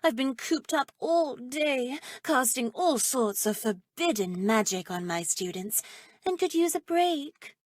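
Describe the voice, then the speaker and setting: A woman's voice: sits high, lively intonation, measured pace, no room sound, studio quality. An actress voicing a flirtatious, menacing witch professor in a video game, with an arch, theatrical, haughty delivery. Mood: playful, flirtatious. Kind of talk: video game character voice acting.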